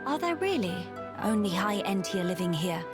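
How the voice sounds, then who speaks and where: A woman's voice: mid-range voice, very animated, lightly acted. Woman as a poised noblewoman, music behind. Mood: questioning. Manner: formal, measured, dignified phrasing. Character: poised, noble young woman of high birth. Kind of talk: video game cutscene acting.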